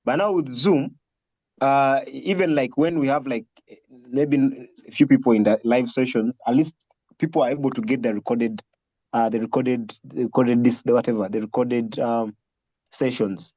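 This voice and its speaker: A man hosting a casual group video call, speaking haltingly and often repeating his words. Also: mid-range voice, fairly even intonation, mellow, no echo, dull audio. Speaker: host of an online film session.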